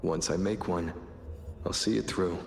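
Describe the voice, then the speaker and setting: An actor playing a steadfast, solemn man in a game, with a gravelly voice. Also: low voice, fairly even intonation, a little slow, over a noisy crowd. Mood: solemn, resolute. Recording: long echoing reverb, faint music.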